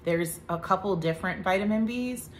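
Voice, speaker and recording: A woman's voice: medium pitch, normal speed, a little hiss or hum. A woman in her thirties giving a casual health talk to camera, chatty, with joking asides. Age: 30s. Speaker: vlogger.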